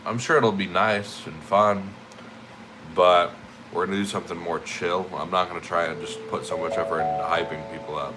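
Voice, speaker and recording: A man's voice: low voice, steady tone, moderate pace, background noise. A man livestreaming in casual chat, sniffly and stuffy-nosed, rambling and repeating himself in a laid-back way.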